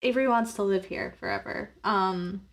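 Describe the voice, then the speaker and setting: A woman's voice: mid-range voice, moderate pace. A woman playing a tabletop roleplaying game, casually describing her character's actions in a thoughtful, hesitant way. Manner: thoughtful, hesitant, searching for words.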